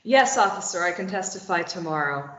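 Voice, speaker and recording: A woman's voice: lowish voice, animated, fairly quick, fairly bright tone. A woman in a table read voicing a stubborn young woman who answers in a dry, understated way. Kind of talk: table read of a stage play. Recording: roomy, muffled lo-fi recording.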